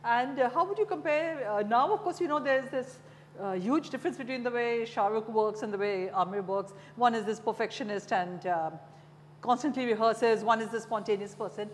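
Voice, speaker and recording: A woman's voice: a little high, moderate pace. A middle-aged woman with an Indian accent, interviewing a guest in a conversational way. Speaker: host, interviewer. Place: dim café-like room, seated at wooden table. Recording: echoey reverberant room, clear background hum.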